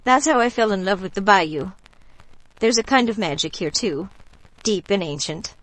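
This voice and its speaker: A woman playing a warm local bayou woman in a radio drama, telling stories in an unhurried, folksy, gently mystical way. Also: mid-range voice, lively intonation, no room sound, lightly acted, rough recording. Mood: gentle, mystical.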